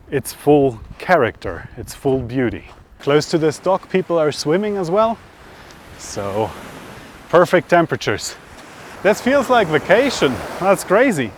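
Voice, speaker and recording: Chatty male travel vlogger outdoors, noisy background. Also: mid-range voice. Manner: chatty, conversational, thinking aloud. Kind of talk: casual outdoor travel vlog narration to camera. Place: outdoors by roads, lakeside and mountains.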